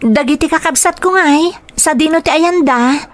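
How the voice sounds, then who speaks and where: A woman's voice: high voice, normal speed, close to an everyday voice. A woman playing a devoted, hopeful grown daughter with an Ilocano accent in a family audio drama. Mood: excited, affectionate.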